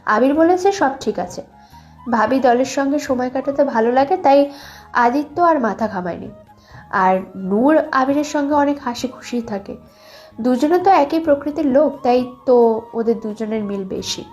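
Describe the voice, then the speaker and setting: A woman's voice: sits high, expressive, normal speed, darkish tone. A young woman reading scripted narration for a dramatized love story. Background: background music.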